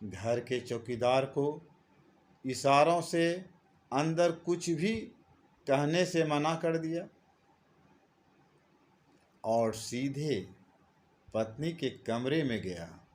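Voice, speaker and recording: A man narrating a scripted moral story as a storyteller, deliberately, phrase by phrase with frequent pauses. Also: fairly high pitch.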